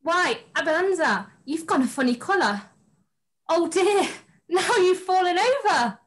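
A woman's voice: very high-pitched, animated, a little slow. A young woman playing a sweet, melodramatic princess in an amateur pantomime read-through on a video call. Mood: coy. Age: young adult.

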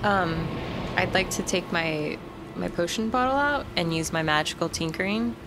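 Lower-voiced woman at the game table. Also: moderate pace, background noise. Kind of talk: casual improvised game chat. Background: music.

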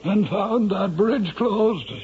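A folksy, evasive Irish innkeeper. His voice is strikingly high for a man. The sound is that of a dull vintage radio drama. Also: slow pace. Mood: cagey. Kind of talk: 1970s radio drama acting. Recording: muffled vintage radio broadcast.

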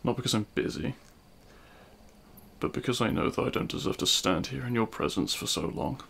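A man playing a remorseful, self-loathing supervillain in an intimate audio roleplay, speaking in a halting, anguished way. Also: medium pitch, lively intonation, vocal fry, a bit bright, a little hiss or hum. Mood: sorrowful resignation. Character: remorseful male supervillain confessing love at a grave.

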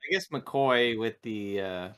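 A man's voice: fairly low pitch, slow, fairly bright tone, clear, resonant tone, dry recording, studio quality. A man chatting casually as one of the players in a tabletop game.

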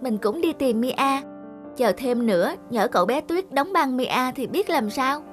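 An earnest young village child in a children's cartoon, with a high, childlike voice. The delivery is spirited and sincere, over a faint bed of music. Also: female voice, animated, unhurried. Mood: determined.

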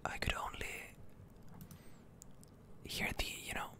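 ASMR gaming host, fully whispered, bright and slow, hesitant rambling delivery. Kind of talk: whispered ASMR game commentary.

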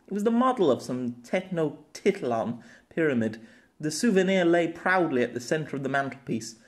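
A man reading scripted story narration as an amateur audiobook narrator. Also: a little high.

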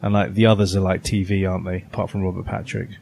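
A man's voice: deep voice, little pitch movement, fast, creaky, a little mellow, a little hiss or hum. A man co-hosting a podcast in casual, conversational discussion. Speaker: podcast co-host.

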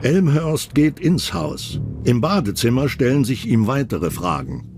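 A man's voice: mid-range voice, very animated, a little slow, creaky, music in the background. A man reads scripted documentary narration as the narrator of a crime series.

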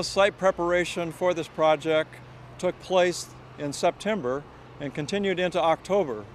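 A man's voice: high-pitched, a little hiss or hum. A middle-aged man giving an explanatory walking tour of a snowy outdoor construction site as library director, speaking into a handheld mic. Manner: explanatory, gestures while speaking. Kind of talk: guided walking tour narration.

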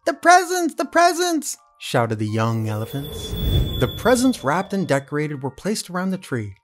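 Guy reading a kids picture book, bouncy lively voice, soft music behind. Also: fairly high pitch, moderate pace. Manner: animated, playful voices for characters. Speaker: puppet show host reading aloud. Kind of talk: children's read-aloud.

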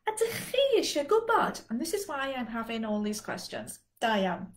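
A South Welsh woman in her sixties teaching an online language lesson from home, patiently repeating phrases slowly for learners. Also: a little high, big pitch swings. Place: home dining room with dresser. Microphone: laptop webcam video call.

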